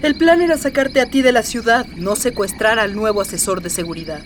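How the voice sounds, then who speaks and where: An actress playing a haughty, imperious young gothic high priestess in a radio drama, disdainful in manner. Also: female voice, fairly quick, recorded from a distance, a little hiss or hum, natural voice.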